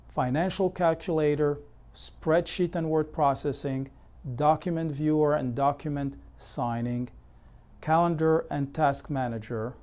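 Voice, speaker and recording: A man delivering scripted instructional narration as an educational presenter, in a measured, methodical, explanatory way. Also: a little high, mellow. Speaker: presenter of real estate consumer education.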